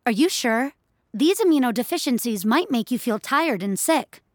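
A woman's voice: a little high, expressive, moderate pace, background noise, natural voice. A woman voicing a polite, helpful but pushy health app assistant in scripted audio fiction. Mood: politely insistent.

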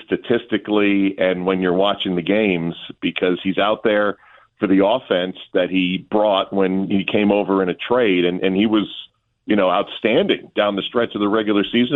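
Over a thin, phone-quality line comes a very low-pitched man. He is a sports announcer appearing as a radio guest, talking through his analysis conversationally, in a measured and discursive manner.

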